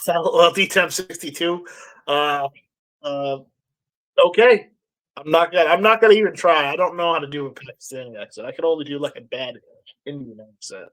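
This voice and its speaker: A man hosting a live stream in casual chat, reading out viewer comments in a halting way and often repeating words. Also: a little high, fairly bright tone, poor audio.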